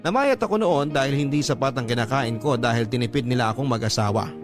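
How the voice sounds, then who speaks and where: A man's voice: medium pitch, lively intonation, quick pace. Filipino man narrating over prominent music. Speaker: radio drama narrator reading a letter. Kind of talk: scripted first-person story narration.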